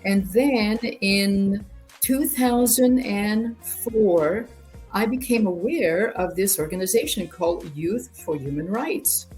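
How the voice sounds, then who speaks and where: A woman from rural eastern Ontario whose first language is French talks as an interview guest. Her pitch is middling, and she explains her story conversationally, restarting and repeating words as she goes. Soft music plays faintly underneath. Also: slow pace. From rural Ontario near Quebec, Canada. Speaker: interview guest, human rights youth organisation director.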